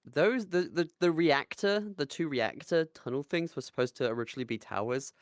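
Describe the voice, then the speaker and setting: A podcast co-host talks in casual, animated bursts at a middling pitch. The manner is jokey and sweary. The recording is dry, with little sense of a room. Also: moderate pace, no room sound. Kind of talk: casual chat.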